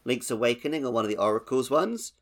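A man's voice: medium pitch, lively intonation, fast, a loud crowd. Man, mid-range voice, informal podcast co-host talking video games. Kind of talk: casual video game chat.

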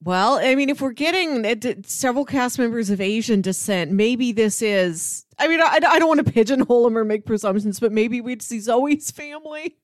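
A woman's voice: a little high, expressive, normal speed, few pauses, no room sound, clean studio sound. A woman co-hosting a podcast, chatting casually about TV. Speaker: podcast co-host.

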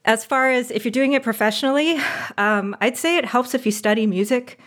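A woman's voice: a little high, fairly quick, a bit bright. A woman guest on an interview podcast, talking casually with lots of fillers and laughs. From United States. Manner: chatty, laughs often, many fillers and restarts. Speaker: guest, audio drama producer.

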